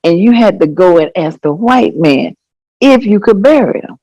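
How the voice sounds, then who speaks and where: A woman playing an elderly grandmother in a staged reading, telling her memories in a folksy, expressive way. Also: medium pitch, no echo, natural voice, rough recording. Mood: fond, musing. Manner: folksy, expressive, unhurried storytelling with exclamations. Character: elderly Southern grandmother reminiscing fondly and animatedly. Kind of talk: staged reading of oral history.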